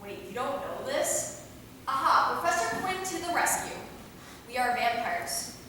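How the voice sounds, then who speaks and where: A woman playing a cocky, mischievous, excitable young female vampire in a staged play reading, with a sassy, boastful delivery. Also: high voice, a little slow, exaggerated voice. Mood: smug, animated. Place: theater hall, recorded from a distance. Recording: very echoey hall, far mic, noisy.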